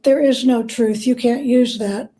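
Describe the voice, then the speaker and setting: A woman speaking as an expert guest in an interview, telling stories in a plain-spoken, thoughtful, measured way. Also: a little high, mellow. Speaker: guest, church historian and biographer. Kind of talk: conversational interview, storytelling.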